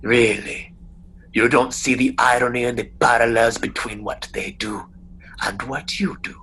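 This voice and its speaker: A man voicing a sly, mocking trickster spirit in a radio play, often in a breathy, gravelly whisper. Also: medium pitch, fairly even intonation, bright, forceful tone. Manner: slow, taunting, theatrical.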